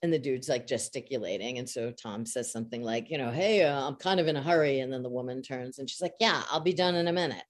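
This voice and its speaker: A woman's voice: extremely low pitch, fast talker, few pauses. A woman from Chicago, a voice actor and podcast guest, telling stories animatedly, acting out quotes and swearing freely. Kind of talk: casual storytelling interview.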